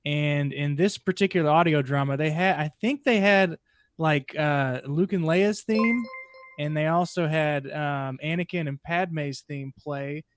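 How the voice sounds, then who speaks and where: Young man, slightly high voice. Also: measured pace. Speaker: podcast panelist. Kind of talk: casual chat. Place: home room, indoors. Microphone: close podcast mic on video call.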